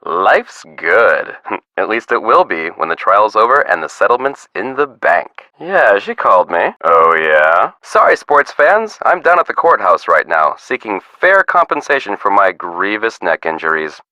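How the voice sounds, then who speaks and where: A man's voice: lowish voice, very animated, measured pace, bright, forceful tone, dry recording, lo-fi sound, exaggerated voice. An actor playing a breezy, slick man after a settlement in a video game, glib and showy. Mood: breezy, smug. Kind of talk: video game character voice lines.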